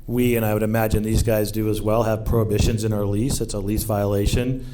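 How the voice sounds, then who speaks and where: A man representing a developer answers questions at a public meeting in a measured, matter-of-fact way. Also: lowish voice, flat delivery, mellow. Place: school community meeting room.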